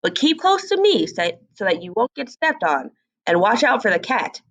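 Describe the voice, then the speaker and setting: A woman's voice: a little high, very animated, moderate pace, far from the mic, voice pushed up. A woman voicing a proud, weary, bossy mother duck in a storybook reading, exclaiming and chatting as she instructs.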